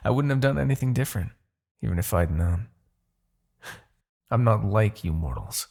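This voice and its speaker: A man voicing an arrogant, brooding demon king in a fantasy ASMR roleplay, condescending and theatrical, with a husky, gravelly low voice and pauses. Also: a little mellow, heavily acted. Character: arrogant demon king, gloating yet begrudgingly attached captor.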